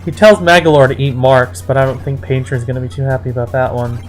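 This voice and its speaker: Animated reader with music behind. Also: mid-range voice, fast talker. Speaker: host reading fan fiction aloud. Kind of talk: mocking dramatic reading with commentary.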